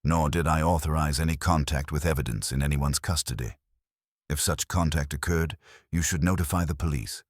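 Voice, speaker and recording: Slick, guarded corporate man read by a male narrator, very low and slightly rough, careful diction, audiobook mystery. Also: fairly even intonation, moderate pace, dry recording, clean studio sound. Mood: smooth, guarded. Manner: smooth, jargon-laden, careful diction. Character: smooth, guarded male corporate consultant, coolly evasive.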